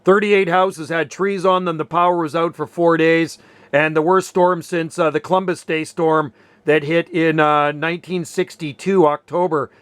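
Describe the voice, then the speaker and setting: A man's voice: fairly high pitch, steady tone, normal speed, a bit bright, smooth, no echo. A man in his sixties chatting casually over ham radio, enthusiastic and calling people "man" often. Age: 60s. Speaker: ham radio operator, channel host. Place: home on a Pacific Northwest island.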